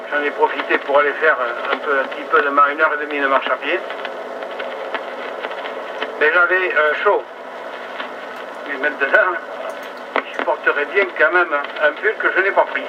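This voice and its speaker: A man chatting casually on amateur radio from inside a vehicle, heard over a two-way transmission. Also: a little high. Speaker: mobile amateur radio operator. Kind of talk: casual ham radio chat. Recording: muffled, very noisy VHF radio relay.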